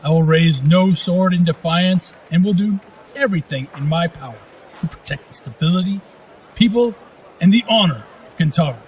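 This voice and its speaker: An actor playing a magnanimous noble duke in a fantasy radio drama, measured and formal. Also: male voice, sits high, a little hiss or hum, close to an everyday voice, rough recording. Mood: solemn. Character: magnanimous noble duke, dignified veteran lord.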